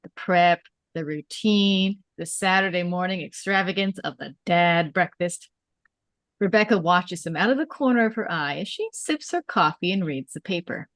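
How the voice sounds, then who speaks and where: Low-pitched woman reading stage directions. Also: normal speed, a bit bright, no echo. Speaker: narrator reading stage directions at a table read. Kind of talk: scripted narration, script read aloud.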